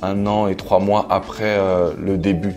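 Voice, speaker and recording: A man's voice: sits low, little pitch movement, a little slow. A man, talking casually as an interview guest. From France. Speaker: interview guest, coach and entrepreneur. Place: living room, on a sofa indoors. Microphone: clip-on lapel mic. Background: background music.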